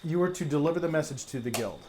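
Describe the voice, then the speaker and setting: A man's voice: a little high, animated, fast, mellow, slight background noise. A man talking casually as a player during a tabletop role-playing game.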